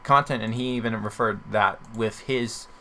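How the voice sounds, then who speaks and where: A man chats casually as a podcast host over faint background noise. His voice is bright and forward, with a heavy fry running through it. He rambles and questions his own points as he goes. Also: fairly low pitch, steady tone, normal speed.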